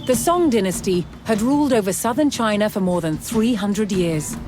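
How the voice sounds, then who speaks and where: A woman delivering scripted historical documentary narration in a measured, dramatic storytelling manner with deliberate pauses. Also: medium pitch, expressive. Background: background music.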